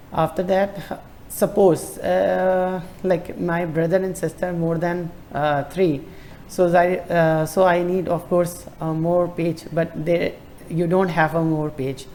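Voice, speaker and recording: A man giving a screen-recorded walkthrough of a form, hesitant and halting with many fillers and restarts. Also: a little high, vocal fry, darkish tone, a little hiss or hum. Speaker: tutorial presenter.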